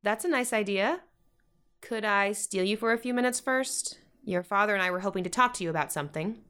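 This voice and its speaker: Actress as weary, gently firm mother, medium pitch. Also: brisk, clean tone, natural voice. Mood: polite, careful. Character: patient, weary middle-aged American mother, gently firm. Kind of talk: naturalistic modern audio drama.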